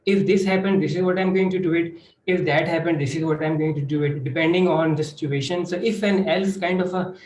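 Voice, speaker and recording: A man's voice: fairly high pitch, fairly even intonation, fairly quick, few pauses, a little mellow. Man in an echoey room. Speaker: panelist. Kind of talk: informal spoken advice. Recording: echoey room, faint background noise.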